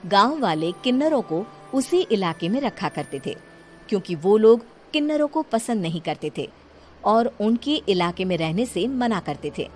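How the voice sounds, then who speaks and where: A woman's voice: medium pitch, very animated, normal speed, soft background music. Woman narrating a dramatic folk tale. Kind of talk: scripted storytelling narration.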